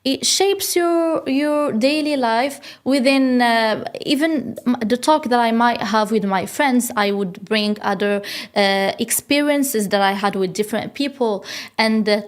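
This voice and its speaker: Young woman from morocco on a podcast, rambly, lots of "uh" and "if you get me", highish voice. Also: unhurried. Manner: chatty with frequent fillers and restarts, says "if you get me". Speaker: guest model and influencer. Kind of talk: casual interview answers.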